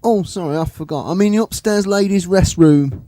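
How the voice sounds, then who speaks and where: A man's voice: sits high, moderate pace, dark tone, no echo, lightly acted. A man playing a dim, hapless, literal-minded maintenance worker in a radio comedy. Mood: sheepish. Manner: hapless, naive.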